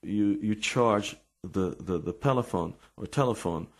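A man with an Israeli accent giving an informal religious talk with personal stories, halting and repeating words as he searches for English. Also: fairly low pitch.

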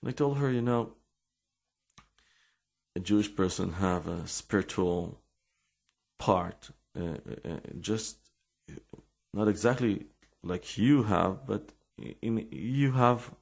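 A man with an Israeli accent giving an informal religious talk with personal stories, halting and repeating words as he searches for English. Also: on the low side, creaky, a little mellow.

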